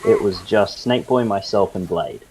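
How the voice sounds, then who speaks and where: A man telling a loose, halting, sweary anecdote to friends in a casual voice chat. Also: deep voice, a little mellow. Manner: loose, halting, repeats himself. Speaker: storyteller in a group voice chat. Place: online voice chat. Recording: noisy voice-chat mic with clicks.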